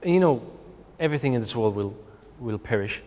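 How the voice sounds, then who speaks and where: A man's voice: mid-range voice, lively intonation, brisk, warm tone, in a large hall. A man, answering interview questions hesitantly, with many fillers. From Sweden. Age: 20s. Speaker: guest. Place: TV studio.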